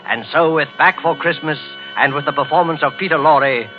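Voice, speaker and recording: A man playing an ominous, theatrical mystery host, announcing deliberately in 1940s radio style. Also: fairly high pitch, phone-quality sound, close to an everyday voice. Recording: old radio recording with music.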